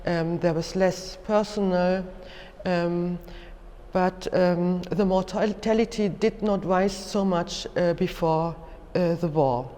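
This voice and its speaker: Slow, halting German-accented woman lecturer. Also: fairly low pitch, fairly even intonation, warm tone. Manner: halting, careful delivery with frequent hesitations. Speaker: museum lecturer. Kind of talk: educational lecture, unscripted explanation. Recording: echoey hall with long reverb.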